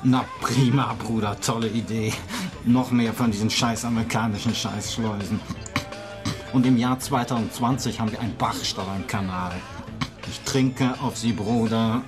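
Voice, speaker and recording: A man's voice: on the low side, steady tone, normal speed, lightly acted. A male actor in a German radio play, playing a foul-mouthed, ranting drinker who spouts conspiracies in a coarse, emphatic way. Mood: mocking, boozy. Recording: music behind the voice.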